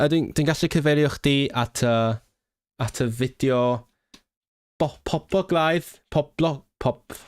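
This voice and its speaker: A young man from Hong Kong with a mixed British English accent, hosting a relaxed language livestream and answering viewers with lots of hesitant restarts. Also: mid-range voice, no room sound, clean studio sound. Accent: British English mix. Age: young adult. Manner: hesitant, many restarts and fillers, self-deprecating. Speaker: language channel host; language learner and student. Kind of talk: casual livestream chat reading viewer comments.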